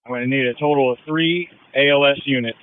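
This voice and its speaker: A man's voice: mid-range voice, fairly even intonation, fast, smooth, no room sound. Male fire commander on muffled radio. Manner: directive, businesslike. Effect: two-way radio. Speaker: fire officer in incident command. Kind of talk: fireground radio traffic, giving orders.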